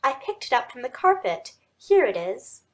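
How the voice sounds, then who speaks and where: A woman's voice: high-pitched, sing-song, moderate pace, voice pushed up. A woman playing a dutiful landlady. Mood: deferential. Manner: earnest, deferential. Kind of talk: audiobook dramatic reading.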